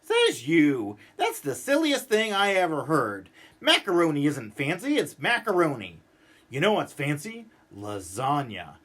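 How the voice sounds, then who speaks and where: A man voicing a cranky, stubborn, exasperated man who grumbles and rants in an animated storybook read-aloud. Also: a little high, measured pace, pitched below the performer's own voice, exaggerated voice. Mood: indignant. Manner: exasperated, ranting, emphatic.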